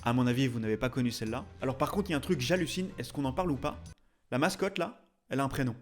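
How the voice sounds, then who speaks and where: A man's voice: mid-range voice, very fast. A chatty man hosting a video with jokey, casual commentary and theatrical, mock-indignant asides. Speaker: YouTube host, tattoo artist. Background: music behind the voice.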